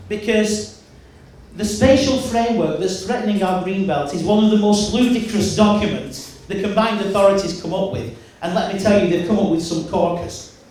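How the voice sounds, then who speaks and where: A man from giving a loud, emphatic campaign speech as a mayoral candidate, often restarting his sentences. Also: sits high, slight background noise. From Wigan, England. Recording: echoey hall, mic from far off.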